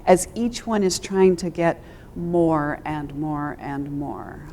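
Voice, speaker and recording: Slow-paced woman in a panel discussion. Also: deep voice, warm tone, a little hiss or hum. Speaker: theater scholar on a discussion panel. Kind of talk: thoughtful unscripted panel discussion.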